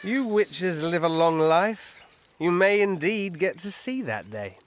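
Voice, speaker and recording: A man's voice: high voice, expressive, slow, slight background noise. An actor playing a melancholy, cryptic old elf woodsman in a fantasy video game, musing with a tipsy drawl. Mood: aloof, matter-of-fact.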